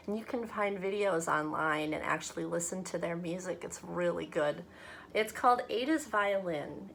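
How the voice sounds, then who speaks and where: A woman from a church talking to children and reading a storybook aloud in a deliberate, expressive way. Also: mid-range voice, a little hiss or hum. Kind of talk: children's read-aloud and friendly talk to kids.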